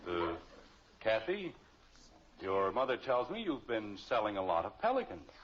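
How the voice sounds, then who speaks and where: A man's voice: lowish voice, sing-song, a little slow. An actor playing an exasperated, easily flustered middle-aged American father in a 1950s radio sitcom, sputtering and sarcastic. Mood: sheepish. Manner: sputtering, sarcastic, hesitant when cornered. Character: exasperated suburban father, easily flustered, fond of his children. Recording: muffled, lightly noisy old radio recording.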